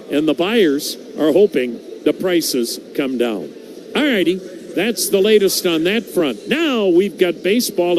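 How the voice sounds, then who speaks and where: A man with an American accent calling baseball play-by-play on radio, in folksy, measured phrases. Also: sits high, darkish tone. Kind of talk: live radio sports commentary. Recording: noisy ballpark ambience behind.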